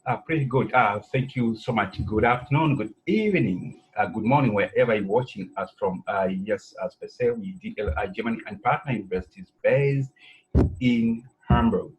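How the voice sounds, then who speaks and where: A man's voice: lowish voice, normal speed, a little mellow, slightly rough, poor audio. A middle-aged man from Zambia answering interview questions at length, full of fillers and asides. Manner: rambling, many fillers and "you know". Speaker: president of an education institute.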